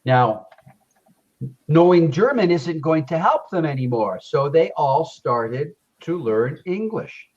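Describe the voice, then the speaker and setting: A man's voice: fairly high pitch, expressive, slow pace. A man with a slightly raised voice, coaching an English learner in easygoing chat. He keeps encouraging her and repeats key phrases for emphasis. His voice comes through dull, lo-fi video call audio. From Canada. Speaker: English tutor. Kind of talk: casual conversation lesson.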